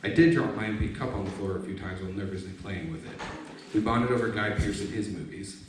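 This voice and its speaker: Man, lowish voice, personal essay reading, distant mic, heavy room echo. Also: quick pace. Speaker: author reading a personal essay at a book launch. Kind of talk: reading a written personal essay aloud to an audience. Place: echoey room at a live book launch event. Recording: very echoey room, distant mic, faint noise.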